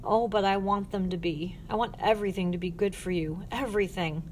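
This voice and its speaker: A woman's voice: mid-range voice, fairly even intonation, brisk, slight background noise, close to an everyday voice, rough recording. Woman acting a lonely, pleading young girl. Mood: earnest, sad. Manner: pleading, earnest. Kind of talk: audiobook character voice.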